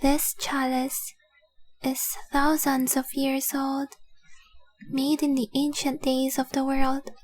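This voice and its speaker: A woman playing a devoted young dragon-blooded fiancée in a romantic audio roleplay, speaking softly, earnestly and adoringly. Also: sits high, rough recording. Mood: adoring, playful. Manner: soft, earnest, adoring, often dropping to breathy whispers.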